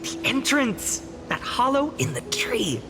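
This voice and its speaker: An actor playing an earnest, boyish young hero in a video game, eager and expressive. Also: male voice, very high voice, slow, noisy background, heavily acted. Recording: background music under the voice.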